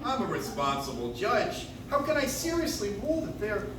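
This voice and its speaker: A weary, dignified old judge acted by a man blusters and hesitates in a high, very animated voice. It is old-time radio play acting, recorded in an echoey, noisy room. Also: normal speed, a crowd in the background. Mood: worried, flustered. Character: flustered grandfatherly judge, weary, evasive, dignified. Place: coffee shop stage, recorded from the audience. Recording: noisy, very echoey live room, distant mic.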